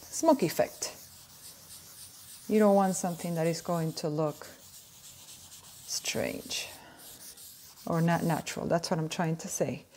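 A woman in her thirties with an Argentine Spanish accent talks through a drawing tutorial from her home. Her voice sits a little low and sounds mellow and relaxed. She speaks in a chatty, gentle way, now and then pausing to search for a word. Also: a little hiss or hum. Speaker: art tutorial host. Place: indoor room at home.

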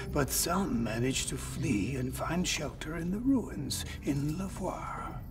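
A solemn android elder from a video game, with a gravelly, unhurried voice. He speaks formally and cryptically, with music playing behind him. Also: on the high side, far from the mic. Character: solemn old android leader revealing ancient truths. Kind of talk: cinematic video game dialogue.

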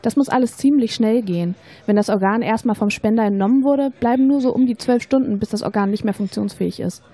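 Woman acting Informed young female student for educational radio play; soft mellow tone, measured, persuasive explainer. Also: fairly high pitch, breathy edge. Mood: matter-of-fact, explanatory. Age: young adult.

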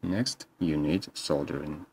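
A man's voice: rumbling low, takes it slowly, darkish tone, husky. A man narrating a scripted how-to as a repair technician, in terse step-by-step instructions.

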